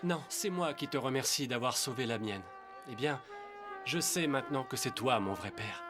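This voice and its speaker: An actor playing an earnest, humane young Hebrew prince with a resolute manner in a dubbed animated film. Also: male voice, mid-range voice, moderate pace, over music, lightly acted. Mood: sincere.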